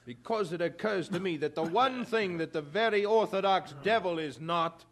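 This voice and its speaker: Audio drama role: a principled preacher, fairly high voice, grave, earnest, rhetorical and stern. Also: male voice, normal speed, a bit bright. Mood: stern, resolute. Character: earnest, stern young evangelist chaplain.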